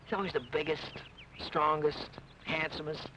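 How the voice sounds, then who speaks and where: A man's voice: a little high, steady tone, moderate pace, noisy background, close to an everyday voice. An actor playing an eager, cheerful young Irish-American man who talks in a chatty way, in 1940s radio acting. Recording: muffled old radio broadcast.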